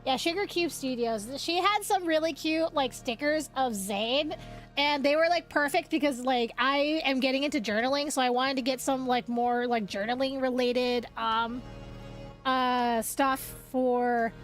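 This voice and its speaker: High-voiced rambling woman streamer. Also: a little slow, faint music behind. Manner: rambling, many restarts and fillers, chatty. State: slightly sniffly from a cold. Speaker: VTuber streamer. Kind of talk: casual livestream chat.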